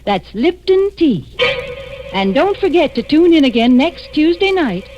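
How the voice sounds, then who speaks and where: An American woman announcer giving a chatty, emphatic sponsor pitch in 1940s radio style. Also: sing-song, measured pace, over music. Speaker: commercial announcer. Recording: dull, band-limited 1940s radio recording.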